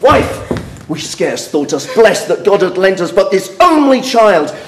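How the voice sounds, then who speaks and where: A man's voice: high voice, big pitch swings, unhurried, exaggerated voice. A man playing a domineering, explosive older father in Shakespearean verse, scornful and declamatory. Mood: furious. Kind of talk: classical stage verse acting. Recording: roomy, echoing acoustic.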